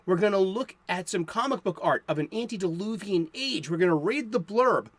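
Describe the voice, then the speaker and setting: Host, male, 50s, light Boston accent; high-pitched, rambling, enthusiastic, dry close sound. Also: animated, brisk, dry recording. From South Boston, USA. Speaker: comic book show host. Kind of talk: casual chat to the viewer.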